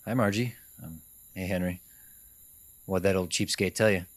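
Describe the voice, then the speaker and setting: A man playing a halting, chuckling rival tow driver who turns creepy, in an amateur radio mystery. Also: sits low, fairly even intonation, no echo, close to an everyday voice. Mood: smug. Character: slow-talking rival tow driver Frank, turning creepily entranced.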